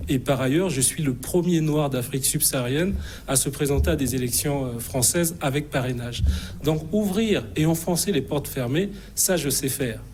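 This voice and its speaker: A man's voice: medium pitch, normal speed, darkish tone, a little hiss or hum. A middle-aged man from the Republic of the Congo, a guest on a TV news programme, speaking assertively with frequent fillers and restarts. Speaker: interview guest, think tank president. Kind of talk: TV news studio interview. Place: TV news studio.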